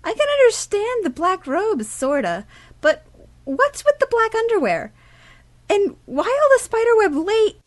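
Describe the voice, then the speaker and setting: A woman's voice: very high voice, very animated, measured pace, heavily acted. A young woman playing a perky, teasing teenage girl apprentice in a science-fiction audio drama. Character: bubbly, cheeky teenage girl Sith apprentice who loves pink.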